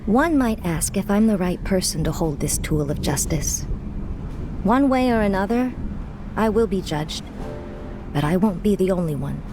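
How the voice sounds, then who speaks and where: An actress playing a proud, bitter zealot who leads a crusade, speaking in solemn, formal, righteous phrases in a video game. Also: female voice, medium pitch, animated, normal speed, close to an everyday voice. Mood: solemn conviction. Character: Annie, bitter proud axe-wielding woman zealot, crusade leader. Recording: music behind the voice.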